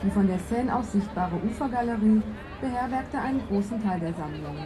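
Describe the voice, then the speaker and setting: Radio drama tour guide, woman acting: informative announcements, medium female pitch, crowded noisy setting. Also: a little fast, a crowd in the background, close to an everyday voice.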